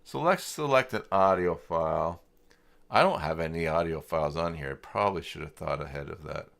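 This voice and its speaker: A man's voice: very deep voice, fairly quick, creaky, a bit bright. A man hosting a casual screen-share tutorial, thinking aloud and muttering hesitantly to himself as he searches. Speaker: live stream host demonstrating website software.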